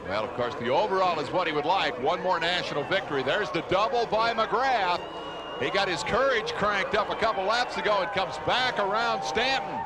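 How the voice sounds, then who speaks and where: High-pitched race commentator over loud noise. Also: male voice. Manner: punchy, dramatic phrasing. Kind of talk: play-by-play motocross race commentary. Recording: engine and outdoor noise behind voice. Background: motocross race noise.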